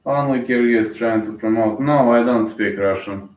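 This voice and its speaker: A man's voice: mid-range voice, normal speed, echoey room, far from the mic, rough recording. A man from Bulgaria with a Bulgarian accent, casually commentating a live fight and rambling with many fillers and restarts.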